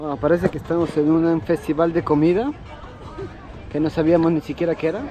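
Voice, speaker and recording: A young man talks to his handheld camera while walking through the city. His voice sits slightly high, and he keeps the chat light and teasing. A steady crowd murmurs clearly around him outdoors. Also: fairly quick, music in the background. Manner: chatty, teasing, laughs often. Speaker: vlogger. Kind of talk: casual vlog tour. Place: busy outdoor city streets.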